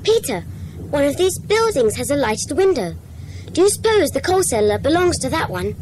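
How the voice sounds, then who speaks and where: Junior detective for a children's radio play: excitable schoolboy, piping and animated, eagerly inquisitive. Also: female voice, high-pitched, normal speed, a little hiss or hum, poor audio. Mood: curious. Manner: excitable, questioning. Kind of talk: dramatised children's audiobook acting.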